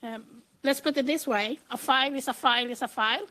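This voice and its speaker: A woman's voice: high voice, brisk, sharp tone. A middle-aged woman with a Swedish accent, giving an informal, plain-spoken conference presentation in non-native English. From Sweden. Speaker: conference speaker, computer engineer.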